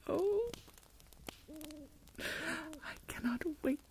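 A woman's voice: very high-pitched, very animated, extremely slow, a little hiss or hum, full character voice. A woman playing a fretful, melodramatic older Regency mother in a whispered ASMR roleplay, self-pitying and full of dramatic sighs, close to the mic. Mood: hopeful, excited. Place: indoors, lying in bed.

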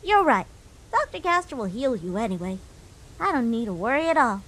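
A woman's voice: on the high side, very animated, fairly quick, a bit bright, low-quality recording. A woman voicing an earnest, tender young monster boy in a comic dub, with an eager, childlike manner. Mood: worried, pleading.

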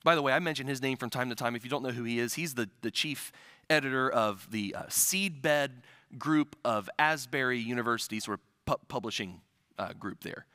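A man in his forties, a pastor from the Midwest, preaching a sermon at a church pulpit on a headset mic, swelling loud and dropping to soft asides. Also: mid-range voice, brisk, clear, resonant tone, no room sound, clean studio sound. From American Midwest. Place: church sanctuary stage at a pulpit.

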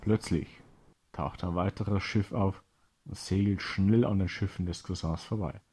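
A man's voice: low voice, steady tone, unhurried, darkish tone, a little hiss or hum. A man narrating a children's adventure story as its storyteller.

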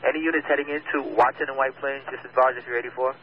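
A man's voice: mid-range voice, little pitch movement, rapid-fire. A man working as an emergency dispatcher, giving terse procedural instructions over two-way radio. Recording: tinny, noisy scanner radio, clicks.

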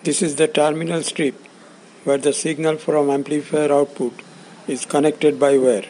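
A man with a fairly high, mellow voice narrates an instructional script. He explains things in short, measured phrases, as an instructor would. There is faint noise in the recording. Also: little pitch movement, low-quality recording. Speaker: instructor presenting components.

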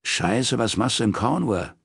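A man's voice: mid-range voice, expressive, unhurried, gravelly, a bit bright, breathy edge, no room sound, studio quality, voice pushed up, exaggerated voice. A man voicing a brusque, foul-mouthed London crook in an audiobook, blunt and impatient. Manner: coarse, blunt, slangy.

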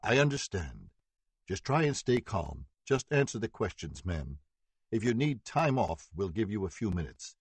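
A man voicing a calm, firm male judge who speaks low and soothingly. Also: moderate pace, slightly rough, no room sound.

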